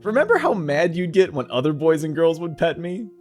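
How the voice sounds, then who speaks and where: Eager, loving pet dog played by an actor, excitable and gushing, very animated delivery, for audio play. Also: mid-range voice, a little fast, faint music behind, natural voice. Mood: cheerful, sincere. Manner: gushing, excitable, breathy gasps and laughs. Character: eager, affectionate dog with human speech in pet heaven.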